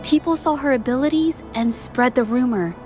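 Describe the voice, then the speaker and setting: An actress voicing an earnest young female painter, gentle and reflective as she investigates. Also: high voice, normal speed, faint music behind, close to an everyday voice. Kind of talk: video game voice acting.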